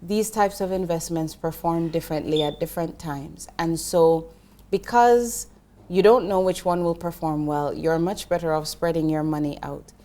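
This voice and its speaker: A woman's voice: on the low side, fairly even intonation, moderate pace, few pauses, dark tone. A middle-aged woman from Jamaica, a guest in an interview, explaining finance earnestly with frequent restarts. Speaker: investment professional guest. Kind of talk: interview conversation.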